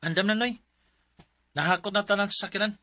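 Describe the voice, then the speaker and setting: High-voiced, obliging radio drama relative. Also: male voice, brisk, bright, forceful tone, no room sound. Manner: respectful, agreeable.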